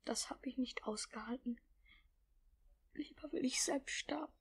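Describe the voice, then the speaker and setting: Performer as a breathy, timid orphan boy. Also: high-pitched, in a whisper. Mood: weary, sad. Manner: timid, faint, breathy, halting. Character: meek, frail, polite starving orphan boy. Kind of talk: 1950s German radio play acting.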